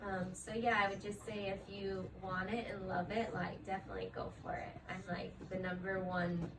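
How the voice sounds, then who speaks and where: A chatty woman dancer answers interview questions easily and casually, leaning on "like" and "um" as she goes. She sits back from the microphone, so her voice sounds a little distant. A steady hum of room noise runs behind her. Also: medium pitch, moderate pace. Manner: chatty, frequent fillers like "like" and "um".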